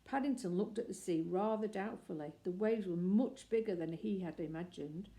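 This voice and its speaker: Woman storybook reader, mellow and gentle, expressive. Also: mid-range voice, normal speed. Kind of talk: reading a children's book aloud.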